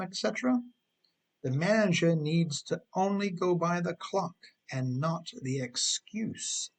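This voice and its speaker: Man, slightly high voice, author narration, scripted audiobook reading, emphatic storytelling. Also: slightly rough, rough recording. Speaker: author reading his own writing.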